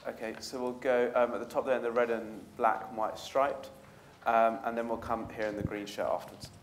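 Man, moderator, flat delivery, off-mic, faint background noise. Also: mid-range voice, a little fast. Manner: brief, directing the floor.

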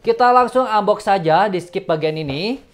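A man's voice: sits high, lively intonation, normal speed. A man in his thirties giving an enthusiastic, casual product review as a gadget reviewer. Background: background music.

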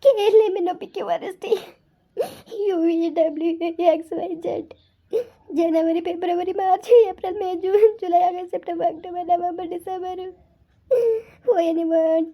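A woman's voice: extremely high pitch, fairly even intonation, a little fast, dark tone. A woman voicing a desperate young mother who cries and pleads over her sick son. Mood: sobbing. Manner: tearful, pleading, sobbing. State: crying.

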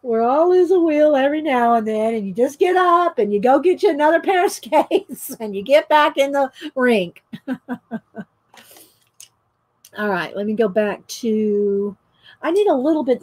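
A woman's voice: a little high, darkish tone, no echo, low-quality recording. A middle-aged woman, chatting and laughing often as she tells a story while painting. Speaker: painting vlogger. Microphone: overhead camera mic, speaker off screen.

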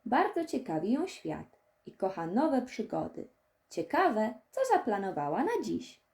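Woman narrating a kids audiobook, lively up and down pitch, soft mellow tone. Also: a little slow. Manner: expressive storytelling for young children. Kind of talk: scripted children's story narration.

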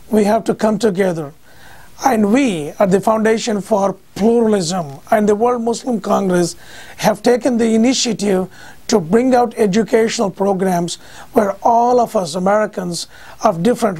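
An older man talks straight to the camera from a desk in a home office. His voice is slightly husky, and he speaks with emphatic conviction. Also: sits high, a little mellow. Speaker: speaker on pluralism. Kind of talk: earnest public appeal to camera.

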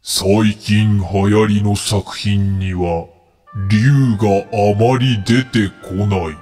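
Guy doing a big solemn dragon, very low slow formal voice, kinda weary, comedy voice drama. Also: fairly even intonation, a little mellow, soft background music. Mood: grave, weary. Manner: slow, pompous, formal pronouncements. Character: solemn, world-weary wish-granting dragon moonlighting from acting.

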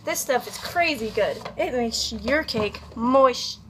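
A young woman with a high voice walks through a task in a goofy, casual tutorial style. She takes her time, stretching words and dropping in playful asides. Faint kitchen noise sits behind her, picked up by a camera about an arm's length away. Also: animated, slow pace, fairly bright tone. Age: young adult. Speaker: host of a home cooking video. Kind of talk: casual comedic cooking tutorial. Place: home kitchen, indoors. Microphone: camera on counter at about arm's length. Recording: background kitchen noise, clicks.

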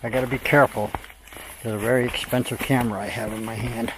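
An older man casually narrating his hike on a snowy forest trail, talking into a handheld camera with relaxed, rambling pauses. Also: mid-range voice, noisy background. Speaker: hiker vlogging a trail. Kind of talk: casual narration while hiking. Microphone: handheld camera at arm's length.

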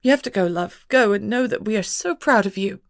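A woman voicing a gentle, loving mother who speaks softly and soothingly to her child. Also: mid-range voice, fairly quick, no room sound, clean studio sound, voice pushed up, lightly acted. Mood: tender. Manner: soft, soothing, tender. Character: tender, gentle mother, warm and reassuring.

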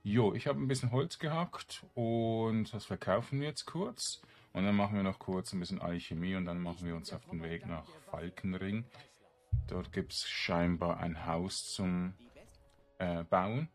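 A man giving casual, halting game commentary, rambling as he thinks aloud. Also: sits low. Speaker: let's player. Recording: game music behind the voice. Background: video game music.

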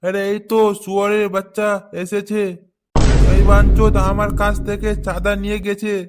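A man voicing a middle-aged, foul-mouthed neighbourhood uncle in a comedy cartoon, blunt and quick to curse. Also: high-pitched, monotone, soft background music, lightly acted. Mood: furious, accusing. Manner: blunt, scolding, full of curses.

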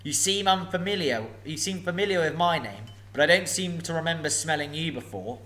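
A man voicing a sly, menacing old male dragon, slow and mocking. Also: on the high side, pushed and bright, voice pushed up. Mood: sneering.

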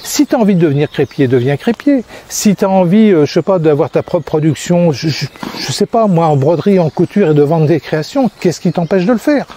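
A man's voice: fairly high pitch, animated, a little fast, few pauses, dark tone, slight background noise. A man in his sixties, a crêpe-making trainer, talking casually to a phone held at arm's length while walking in a park, rambling with many fillers and restarts. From France. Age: 60s. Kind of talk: casual vlog monologue. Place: park outdoors. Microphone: phone at arm's length, selfie framing.

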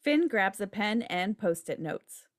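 A woman's voice: mid-range voice, lively intonation, measured pace, no room sound. Dry-sounding woman reading stage directions. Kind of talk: scripted narration. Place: indoor room, on a video call. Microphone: video call microphone.

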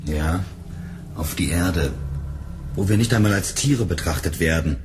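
A man acting an embittered, menacing fugitive android in a radio drama, with a gruff manner. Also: low-pitched, measured pace, noisy background. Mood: bitter.

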